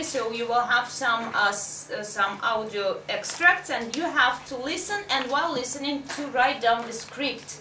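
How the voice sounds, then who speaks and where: A woman's voice: high voice, pushed and bright. A woman English teacher giving blunt, conversational instructions to a class. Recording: echoey room, distant mic, faint noise.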